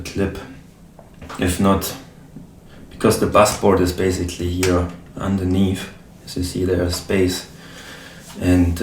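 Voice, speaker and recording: A man with a German accent talks casually while assembling his synth case, pausing often to search for English words. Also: low-pitched, a little mellow, slight background noise. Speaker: modular synth hobbyist. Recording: roomy, mic far off.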